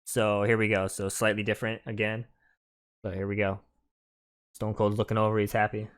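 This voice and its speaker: A man's voice: lowish voice, level tone, moderate pace. A man giving casual, chatty, explanatory commentary over a video game walkthrough.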